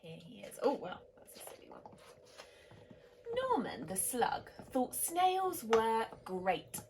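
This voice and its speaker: A teacher-voiced woman reading a bedtime picture book, her melody swinging widely as she slows for effect. Soft, hushed asides to her young listeners sit over a steady background hiss. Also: fairly high pitch. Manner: animated and expressive, with soft asides. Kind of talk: picture-book read-aloud to children.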